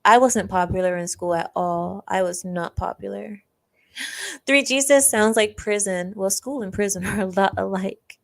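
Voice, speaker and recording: A woman's voice: mid-range voice, normal speed, gravelly. Chatty young woman laughing on a stream. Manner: chatty, laughs often, acts out quoted classmates. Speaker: livestream host. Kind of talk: casual storytelling and reading chat.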